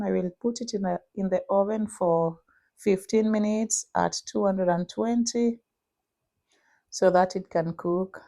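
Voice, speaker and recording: A young woman with a Kenyan accent talking through her cooking in a casual vlog, pausing often with "uh". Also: medium pitch, darkish tone, no echo, clean studio sound. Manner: unhurried, many "uh" pauses while cooking. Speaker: vlogger.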